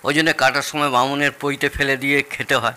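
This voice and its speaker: A man's voice: mid-range voice, flat delivery, fairly quick, sharp tone, clear, resonant tone, close to an everyday voice. An actor playing a jovial, proud older Bengali father-in-law in an audio drama, explaining things expansively and with relish. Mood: pleased, explaining.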